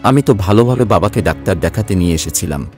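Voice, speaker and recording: A man's voice: low voice, animated, fast, darkish tone, soft background music. A man playing a self-centred young working son from a village in a cartoon story, speaking emotionally. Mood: indignant, dismissive.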